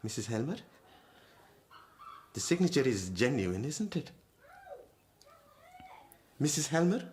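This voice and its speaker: An actor playing an embittered moneylender in a radio drama, with a deliberate, insinuating, formally polite menace. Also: male voice, mid-range voice, very animated, darkish tone, a little hiss or hum. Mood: cold interrogation. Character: embittered, menacing Indian moneylender and lowly clerk, a father.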